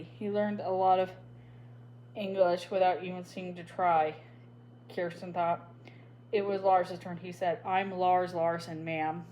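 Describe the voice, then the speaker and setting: A woman reading a children's novel aloud as narrator, in a steady, even way. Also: lowish voice, slight background noise, low-quality recording. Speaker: storybook reader.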